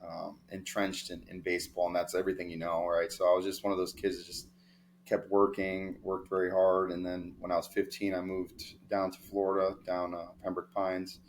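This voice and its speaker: A young man from New York, a sports agent telling stories in a relaxed, rambling interview with many fillers. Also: low-pitched, moderate pace, slight background noise. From New York, of Dominican family. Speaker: baseball player agent, guest. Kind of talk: casual interview storytelling.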